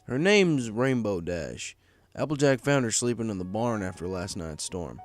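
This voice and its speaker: A man's voice: lowish voice, lively intonation, moderate pace, dry recording, soft background music, close to an everyday voice. A man voicing a big male farm pony who is laconic, polite and understated, in a story reading. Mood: polite, calm.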